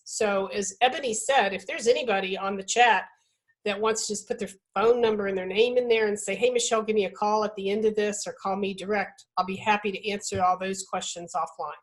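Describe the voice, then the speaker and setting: A woman's voice: mid-range voice, rough recording. A woman admissions advisor answering questions in a webinar over a video call, talking in an emphatic, upbeat, conversational way.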